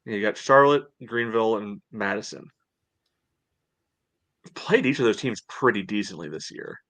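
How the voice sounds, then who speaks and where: Low-voiced man talking sports, dry close sound. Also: expressive, moderate pace, a bit bright, no echo. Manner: conversational, reasoning aloud with frequent "you know". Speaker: podcast co-host. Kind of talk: casual sports analysis.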